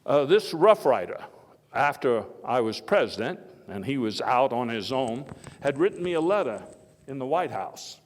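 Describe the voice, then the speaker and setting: An actor playing a vigorous, patriotic elder statesman giving a folksy, emphatic, halting speech in a live historical reenactment. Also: mid-range voice, sing-song, natural voice. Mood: wry storytelling. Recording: echoey live hall, faint background noise.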